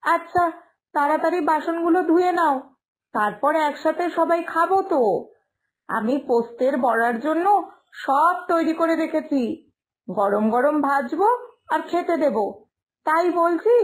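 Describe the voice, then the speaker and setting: A woman's voice: high-pitched, a little slow, pauses often, rough recording. A woman voicing a caring, dutiful young daughter-in-law in a village cartoon story, soothing and coaxing. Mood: gentle, coaxing.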